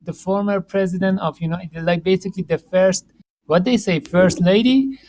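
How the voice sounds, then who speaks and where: Halting male podcast host, dry sound. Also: high voice, a little mellow, dry recording. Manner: halting, many restarts and fillers, laughs often. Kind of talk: casual interview chat.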